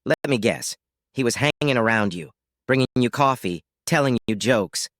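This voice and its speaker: A man's voice: mid-range voice, expressive, moderate pace, a bit bright, no echo, clean studio sound, natural voice. A man playing an angry, betrayed husband, confronting and accusing with sarcastic force.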